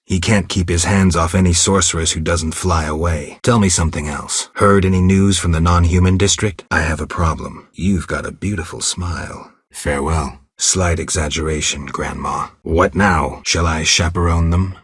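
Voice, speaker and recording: A gruff, stoic monster hunter for a video game. The voice sits extremely deep and is heavily rasped and husky. Lines come out dry, blunt and deadpan, in a close, dry recording. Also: male voice, moderate pace, few pauses, dry recording. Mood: curt, irritated, then muted, dry. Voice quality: low, gravelly, husky. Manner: deadpan, terse, restrained. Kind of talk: video game dialogue acting.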